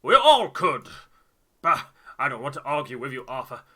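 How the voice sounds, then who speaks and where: Man acting loud, blustering, proud ship's navigator. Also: mid-range voice, big pitch swings, moderate pace, slightly rough, exaggerated voice. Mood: blustering, stubborn. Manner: hearty, blustering, emphatic. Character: blustering middle-aged male ship's navigator, hearty, stubborn, proud.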